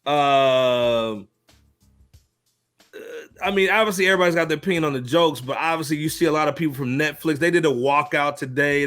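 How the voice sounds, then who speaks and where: A man's voice: fairly high pitch, a bit bright. A man hosting a casual podcast, introducing a segment loosely and passing questions to his co-hosts. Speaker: podcast host.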